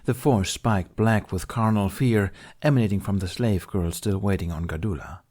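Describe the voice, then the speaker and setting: A male narrator reads a story from a script. His voice sits low, and the delivery is that of an audiobook. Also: normal speed, darkish tone.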